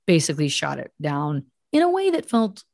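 A woman's voice: deep voice, wildly swooping pitch, normal speed, gravelly, a little mellow, no room sound, studio quality. A woman hosting a podcast, retelling a story as mock-serious true crime in an emphatic, conversational way with asides. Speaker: podcast host telling a story.

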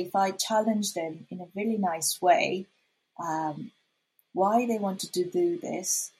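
Slow, husky-voiced Korean woman. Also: fairly low pitch, a little mellow, poor audio. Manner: halting, many fillers and restarts. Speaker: freelance designer guest. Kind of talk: casual interview answers.

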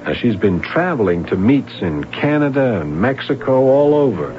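A man's voice: mid-range voice, big pitch swings, moderate pace, background noise. A man acting in a 1970s American radio drama as an earnest, persistent young police sergeant who probes with questions. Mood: worried. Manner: probing, insistent, colloquial. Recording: muffled radio recording, faint music.

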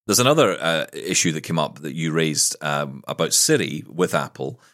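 A man hosting a podcast interview, with a low, deep voice. His intonation moves up and down a lot, and he sounds curious, thinking aloud as he works his questions out. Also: expressive, moderate pace, fairly bright tone. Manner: inquisitive, thinks aloud with restarts. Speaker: podcast host. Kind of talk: conversational interview.

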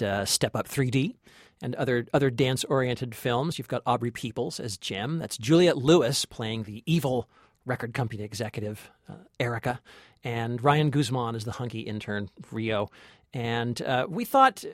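A man's voice: mid-range voice, dry recording, clean studio sound. A man co-hosting a film podcast in a casual, chatty style. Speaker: podcast co-host. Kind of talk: casual film review chat.

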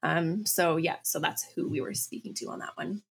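A young woman from Canada, a copywriter guest on a podcast, talking in a relaxed, chatty way. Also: fairly low pitch, normal speed, creaky, slightly rough. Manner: relaxed, chatty, lots of fillers. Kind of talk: casual interview chat.

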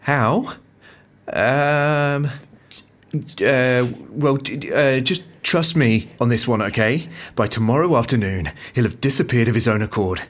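An actor playing an anxious secret agent in an audio drama, urgent and halting. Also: male voice, mid-range voice, lightly acted. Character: anxious male secret agent calling off a mission. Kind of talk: audio comedy drama acting.

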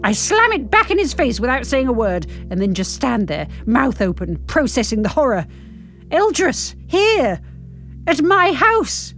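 A woman playing a grumpy, impatient, sardonic older woman, telling her story in the first person in a wry, expressive way. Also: on the high side, normal speed, hardly any pauses, soft background music. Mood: exasperated, shocked.

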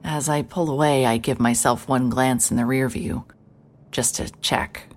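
Very low-voiced, hard-hearted female private eye. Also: fairly even intonation, measured pace. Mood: focused. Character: hard-hearted female private investigator, brooding noir narrator. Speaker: narrator and lead character. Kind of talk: first-person noir scripted narration.

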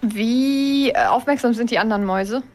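Higher-voiced woman playing a tabletop game. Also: normal speed, faint music behind. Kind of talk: casual in-game chat.

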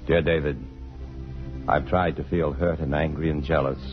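An actor playing a restless, evasive husband and engineer in love, in a halting, earnest 1950s radio drama style. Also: male voice, very deep voice, fairly even intonation. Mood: concerned, wry. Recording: muffled vintage radio broadcast, faint music.

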